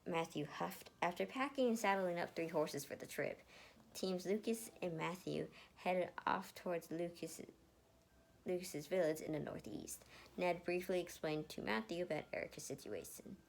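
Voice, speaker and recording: Lower-pitched woman reading a story aloud. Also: moderate pace, vocal fry, rough recording. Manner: stumbles over words and rereads. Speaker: reader of fan fiction. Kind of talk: amateur story reading with casual asides.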